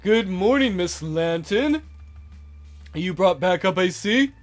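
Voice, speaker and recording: A man voicing a smug, scheming male relic dealer who talks in a slow, mock-polite drawl. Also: sits high, expressive, soft background music. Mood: smug menacing.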